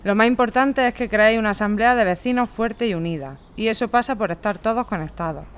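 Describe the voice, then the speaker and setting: A woman playing a calm, practical veteran housing activist in a radio drama, explaining things in an organised way. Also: mid-range voice, a little fast, clean tone, close to an everyday voice. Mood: calm, helpful. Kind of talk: amateur radio drama acting.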